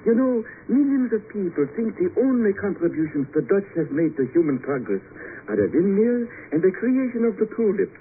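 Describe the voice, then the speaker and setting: On a tinny old radio recording, an elderly scholar. His voice is high and swoops freely. He rambles genially and hesitates in a fussy, bookish way. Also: sing-song, fairly quick, slight background noise. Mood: pleased, expansive. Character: genial, pedantic older European history professor, a hesitant house guest. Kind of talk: 1950s radio acting.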